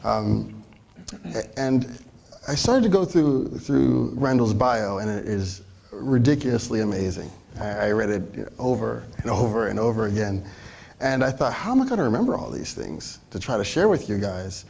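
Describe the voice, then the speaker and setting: A man's voice: on the low side, very animated, moderate pace, creaky, a little mellow, slight background noise. A man moderating an onstage fireside chat, chatty and rambling with restarts, before a live audience. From Philadelphia area. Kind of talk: casual onstage interviewing.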